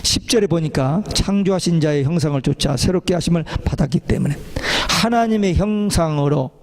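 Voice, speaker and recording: A man's voice: fairly high pitch, brisk. A man preaching a church sermon as a pastor, exhorting listeners and putting questions to them.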